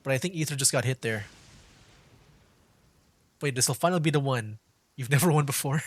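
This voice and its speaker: A man's voice: medium pitch, fairly quick, no room sound. A man streaming a video game, giving casual, amused commentary as he plays.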